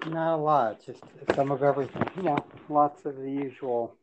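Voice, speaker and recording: A man raised with Yiddish and Hebrew, chatting casually in a plain, repetitive, low-key way. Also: fairly even intonation, normal speed, muffled recording, background noise. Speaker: podcast co-host. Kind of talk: casual chat.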